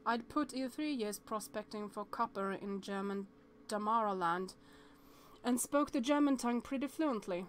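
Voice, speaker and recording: A higher-pitched woman reads a visual novel aloud on stream at a desk mic. She trips over words now and then and breaks off into chatty asides. Faint noise sits behind her voice. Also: moderate pace.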